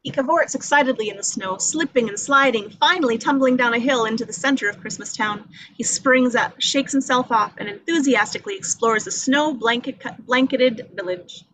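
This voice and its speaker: A woman's voice: a little high, normal speed, fairly bright tone, slight background noise, low-quality recording. A woman reading screenplay action descriptions at a table read in a dramatic storytelling manner. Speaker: reader of stage directions. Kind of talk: scripted narration at a table read.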